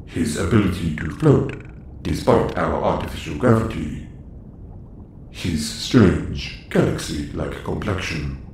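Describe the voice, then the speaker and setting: Man playing a deep, deliberate android starship boss. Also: expressive, darkish tone. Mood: clinical, explanatory. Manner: precise, formal, clinical phrasing. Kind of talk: scripted audio roleplay. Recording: roomy echo, faint background noise.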